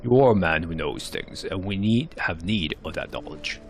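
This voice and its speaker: Man voicing a very deep, blunt fantasy conspirator. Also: animated, moderate pace. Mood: sardonic. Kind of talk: amateur narrated fantasy game cutscenes. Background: music.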